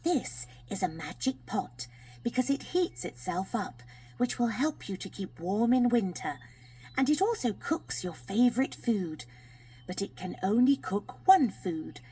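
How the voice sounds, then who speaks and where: A woman voicing a kindly little old lady who is secretly a fairy, gentle and soothing, in a children's story. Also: medium pitch, expressive, unhurried, slightly rough. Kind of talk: children's fairy tale audiobook.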